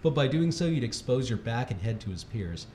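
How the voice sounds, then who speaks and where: A man's voice: medium pitch, sing-song, fairly quick, dark tone. A man reads a humorous article aloud in a mock-serious, instructional way. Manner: often breaking into laughter. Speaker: reader at a charity livestream. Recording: echoey room with faint background noise.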